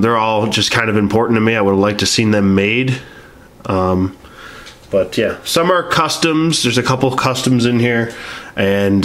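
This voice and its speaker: A man's voice: on the low side, a bit bright, slight background noise. A man with a stuffy nose from being sick, chatting casually about his action figures in a rambling, hesitant way full of ums and uhs. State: sick and stuffy-nosed, sniffling. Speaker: toy collector reviewing action figures. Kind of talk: casual review chat to camera.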